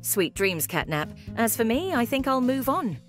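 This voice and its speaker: A woman's voice: fairly high pitch, sing-song, normal speed, over loud music. A woman voicing a laid-back, curious young male chicken in an amateur cartoon comic dub. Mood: easygoing.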